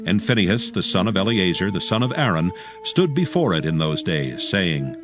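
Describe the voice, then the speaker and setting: A man narrating scripture from a script in a solemn, measured way. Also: sits low, big pitch swings. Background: music.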